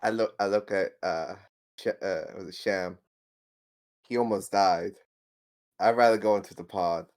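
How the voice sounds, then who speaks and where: A man playing a tabletop roleplaying game, describing his moves in a halting, informal way. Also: low voice, darkish tone. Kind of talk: casual game-table chat.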